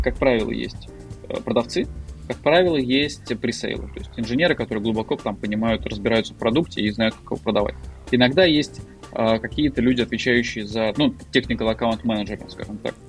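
A man speaking as a guest expert in a casual panel discussion, talking in a hesitant, colloquial way with many fillers and restarts. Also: medium pitch. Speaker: marketer.

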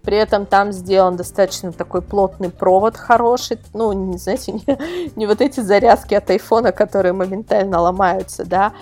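A woman's voice: mid-range voice, moderate pace, darkish tone, soft background music. A woman speaking as a guest reviewer in a casual podcast discussion, emphatic and repeating words for stress. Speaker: guest, product reviewer and website editor.

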